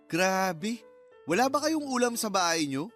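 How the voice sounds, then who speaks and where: Playful, blunt young friend. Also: male voice, sits high, very animated, a little slow, a bit bright, full character voice. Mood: teasing. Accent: Filipino. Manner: jokey, blunt ribbing. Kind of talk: Filipino radio drama acting. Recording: music bed under the voice.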